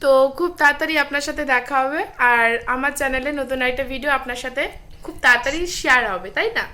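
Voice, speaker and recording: A woman's voice: sits high, fast, pushed and bright. A woman vlogger casually telling viewers about a prank call, hesitant with many fillers. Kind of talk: casual vlog talk to viewers.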